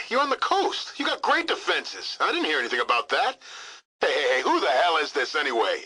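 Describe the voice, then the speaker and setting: An actor playing a jaded, suspicious military communications officer in a video game, brusque and sarcastic, with a rough voice. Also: male voice, medium pitch, pushed and bright, full character voice, low-quality recording. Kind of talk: scripted video game voice acting.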